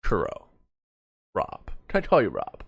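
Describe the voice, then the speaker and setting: A man doing casual live reaction commentary as a streamer, excitable, joking and prone to swearing. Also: fairly low pitch, measured pace, creaky, husky, no echo, studio quality. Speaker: streamer reacting to a fan motion comic.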